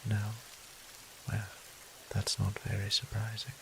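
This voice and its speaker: Low, gentle vampire priest played by a man. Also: monotone, slow, noisy background. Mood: concerned, gentle. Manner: formal, old-fashioned, solicitous, softly breathy phrasing. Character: gentle, doting, courteous male vampire priest healer. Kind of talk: scripted roleplay audio drama, addressing the listener.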